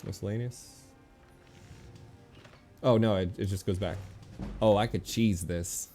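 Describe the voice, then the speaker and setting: Man, very low voice, mellow and dark, casual gameplay commentary, muttering, music behind. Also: unhurried. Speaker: video game streamer.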